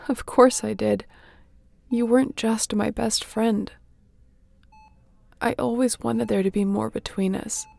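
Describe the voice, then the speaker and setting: Woman acting a gravelly, tender spaceship captain. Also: on the high side, fairly even intonation, slow, no echo, exaggerated voice. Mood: tender, confessional. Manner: soft, sighing, emotionally open. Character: battle-worn female spaceship captain, tender and wry. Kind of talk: intimate audio roleplay acting.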